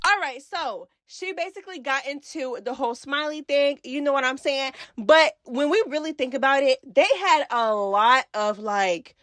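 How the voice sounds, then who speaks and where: Sassy high-pitched woman vlogger. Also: normal speed, hardly any pauses, fairly bright tone, no echo. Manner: sassy, slangy, emphatic repetition. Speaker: YouTube reality TV recap host. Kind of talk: casual opinionated commentary.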